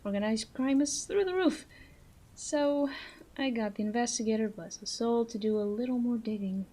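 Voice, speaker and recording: A woman playing a flirty, menacing female mob boss in a roleplay audio drama, teasing and deliberate, with breathy asides. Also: a little high, dark tone, lightly acted. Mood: smooth, businesslike.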